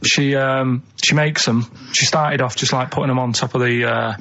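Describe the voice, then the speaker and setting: A man's voice: medium pitch, fairly even intonation, moderate pace, sharp tone. Male radio regular, Manchester accent, rambling casual storyteller, plain delivery, muffled lo-fi audio. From Manchester, England. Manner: rambling, matter-of-fact. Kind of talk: casual anecdote telling. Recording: slightly muffled lo-fi radio recording.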